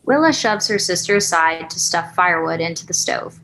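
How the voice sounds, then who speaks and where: A woman's voice: fairly low pitch, lively intonation, moderate pace, fairly bright tone. A woman reading stage directions as narrator at a screenplay table read, in composed, literary storytelling style.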